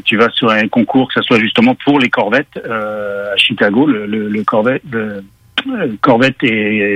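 A man's voice: mid-range voice, no echo, highs cut off. A man with a Parisian French accent, a car magazine editor explaining at length as a radio guest, with many hesitations.

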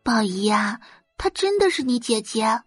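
A woman's voice: fairly high pitch, very animated, a little slow, slightly rough, dry recording, faint music behind, exaggerated voice. A woman voicing a curious teenage girl classmate at a rich school. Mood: amazed.

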